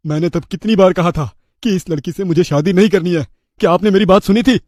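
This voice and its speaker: A man's voice: high-pitched, fast talker, darkish tone, no room sound, clean studio sound, lightly acted. Man as an irritable, blunt elder brother. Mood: angry. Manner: complaining.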